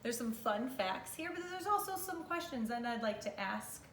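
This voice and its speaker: A woman's voice: on the high side, lively intonation, normal speed, fairly bright tone. A young woman teacher giving an instructive read-aloud lesson for young children, explaining step by step in a living room. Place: small living room corner indoors. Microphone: camera a few metres away. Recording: echoey room with clear background noise.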